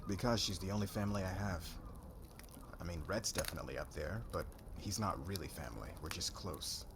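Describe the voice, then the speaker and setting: A man acting a low-voiced cocky warrior boy. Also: brisk, noisy background. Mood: guarded, wry. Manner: slangy, cocky, defensive. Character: cocky young male warrior, brash, guarded suitor. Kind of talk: ASMR roleplay acting.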